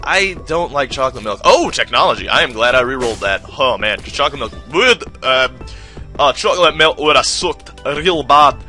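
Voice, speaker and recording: A man's voice: mid-range voice, lively intonation, moderate pace, bright, forceful tone, soft background music. A man from the United States rambling defensively while commentating a video game, with lots of filler words. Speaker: gaming commentator. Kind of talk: let's-play commentary.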